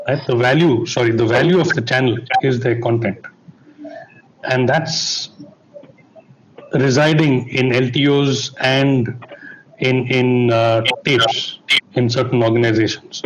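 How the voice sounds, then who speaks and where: A man's voice: medium pitch, dull audio, a little hiss or hum. Man on a tech panel. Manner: explanatory, asks listeners to bear with him. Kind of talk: conference panel discussion.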